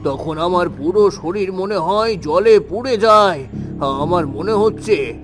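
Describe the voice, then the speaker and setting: A man's voice: sits high, measured pace, soft background music. An actor playing a troubled, solemn and trusting king in a melodramatic cartoon. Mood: distressed, weary.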